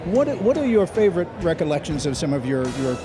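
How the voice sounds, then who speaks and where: A man's voice: a little high, sing-song, fast talker. A man working as an event interviewer, chatting in a gushing, complimentary way with guests. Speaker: red carpet interviewer. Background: music.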